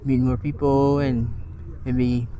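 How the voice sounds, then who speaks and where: An easygoing man with a mid-pitched voice chats casually about his life. He talks in a laid-back way, with a clear crowd buzzing behind him. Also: flat delivery, slow. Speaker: returning guest. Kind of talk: casual interview talk.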